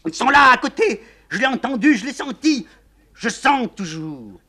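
A man's voice: high-pitched, expressive, unhurried, a bit bright, pitched above the performer's own voice, full character voice. A man voicing a hysterical older woman, a general's jealous wife, who shrieks and raves frantically. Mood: raving.

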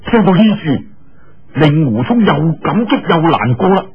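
A male storyteller with a Cantonese accent and a slightly husky voice narrates in a colloquial, dramatic way. His pitch moves around in a lively manner at an unhurried pace. The recording is a little dull. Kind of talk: animated oral storytelling of a martial arts novel. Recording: dull, lo-fi audio.

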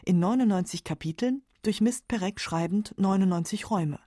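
A woman's voice: medium pitch, moderate pace, dark tone, dry recording, clean studio sound. A woman narrating a scripted radio documentary in a composed, factual way.

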